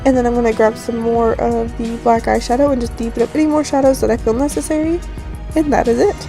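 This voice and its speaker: A woman with a raspy, slightly hoarse just-woken voice, giving a casual, rambling voice-over tutorial as host. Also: on the high side, fairly even intonation, fast. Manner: rambling, informal. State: just woke up, morning hoarseness. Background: background music.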